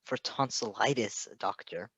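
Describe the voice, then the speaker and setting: A man's voice: fairly low pitch, a little slow, a bit bright, slightly rough, dry recording. A man voicing a logical, reserved male superhero in a story, speaking formally and precisely. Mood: matter-of-fact.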